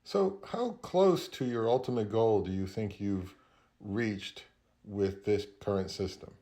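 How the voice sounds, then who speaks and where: Male host for a relaxed hobby interview, slightly low voice, conversational questions. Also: a little slow.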